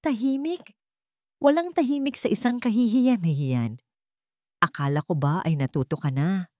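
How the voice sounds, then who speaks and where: A woman's voice: mid-range voice, normal speed, warm tone, no echo, studio quality, lightly acted. A woman voicing a wealthy, domineering older mother in a story, cutting and scornful. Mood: contemptuous.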